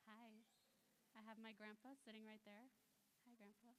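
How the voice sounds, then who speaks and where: A woman's voice: a little high, level tone, moderate pace. Woman in her twenties from Lewis County, casual chatty testimony, faint hiss behind her. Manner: casual, chatty, many "like" fillers. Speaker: guest speaker. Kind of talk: personal testimony. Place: church hall.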